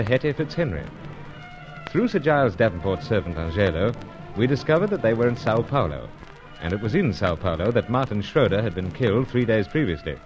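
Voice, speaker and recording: Wry spy hero, low lively voice, clipped period delivery, dull old radio sound. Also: male voice. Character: wry, jealous British secret agent, narrating his adventures. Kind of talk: vintage radio adventure serial acting. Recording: dull vintage radio recording with music.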